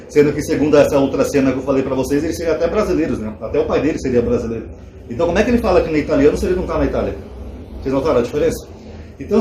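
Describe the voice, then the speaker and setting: A fast-talking man, speaking casually to his audience with a mellow, somewhat high voice. He fills his explanations with rhetorical questions. The room echoes, with a faint hum behind him. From Brazil. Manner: conversational, animated asides with rhetorical questions. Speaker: channel host. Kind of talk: casual commentary to viewers.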